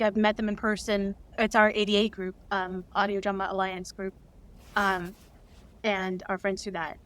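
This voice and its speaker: A woman's voice: mid-range voice, fairly bright tone. A woman guest on an interview, an audio drama creator, speaking enthusiastically with frequent ums. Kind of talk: casual interview answer.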